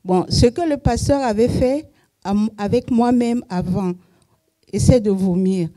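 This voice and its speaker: A woman giving a halting testimony in a church service, searching for words. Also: medium pitch, warm tone. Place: church hall.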